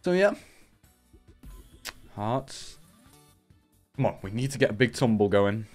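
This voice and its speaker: A young man, hoarse from a cold, giving live commentary on a slots stream through a headset and jumping up loudly at big wins. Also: fairly low pitch, animated, takes it slowly, faint music behind. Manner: shouts and rises sharply at big wins. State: raspy from a cold.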